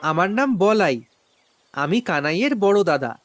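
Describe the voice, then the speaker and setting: A man voicing a hungry young boy in a cartoon, speaking hesitantly and sheepishly in a high, put-on boyish voice. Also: big pitch swings, moderate pace, fairly bright tone. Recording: music playing behind the voice.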